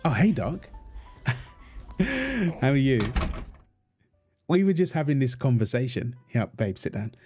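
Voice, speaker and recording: A man playing a calm, teasing, reassuring young husband and father in a natural, intimate audio roleplay, talking gently to his partner and baby. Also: medium pitch, unhurried, long pauses, soft background music. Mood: friendly, amused.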